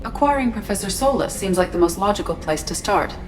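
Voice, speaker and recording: An actress voicing a calm starship artificial intelligence who speaks in a measured, formal way. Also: female voice, medium pitch, close to an everyday voice. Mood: even. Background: game music score.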